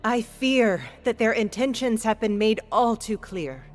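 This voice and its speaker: An actress playing a cautious, grave counselor in a fantasy game drama. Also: female voice, on the high side, animated, a little slow, soft background music. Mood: grave, worried.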